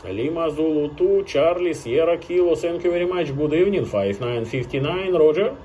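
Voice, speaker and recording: High-voiced man on a noisy ham radio. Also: expressive, moderate pace. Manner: repeats short stock phrases, polite. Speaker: amateur radio operator. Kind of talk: formulaic ham radio contact exchanges with spelled call signs. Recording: very noisy radio static and clicks.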